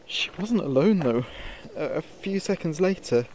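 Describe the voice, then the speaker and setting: An actor playing a frightened late-night radio DJ giving a halting, haunted field report in a horror audio drama. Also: male voice, a little high, lively intonation, a little mellow, close to an everyday voice. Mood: frightened, guilty. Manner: halting, hesitant, haunted delivery. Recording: noisy outdoor field recording with ambience.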